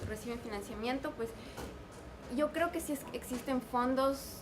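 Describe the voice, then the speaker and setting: Woman panelist, measured, hesitant, slightly high voice, noisy room. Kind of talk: panel discussion answer.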